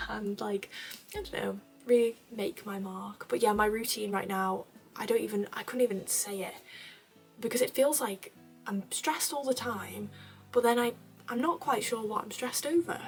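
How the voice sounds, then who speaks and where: A young woman from North Wales answering viewer questions in a casual chat, rambling a little with fillers and soft laughs. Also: medium pitch, steady tone, normal speed, faint music behind. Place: bedroom at her parents' home.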